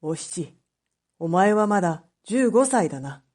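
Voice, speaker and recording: A woman's voice: lowish voice, very animated, a little slow, darkish tone. A woman voicing a stern but merciful male magistrate in a storybook reading, grave and authoritative. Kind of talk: storybook read-aloud acting.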